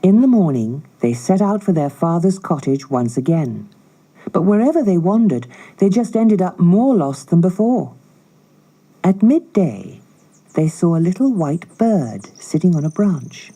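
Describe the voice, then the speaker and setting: A woman storyteller narrating a scripted fairy tale for children in a measured, dramatic way. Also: lowish voice, big pitch swings, dark tone, breathy edge.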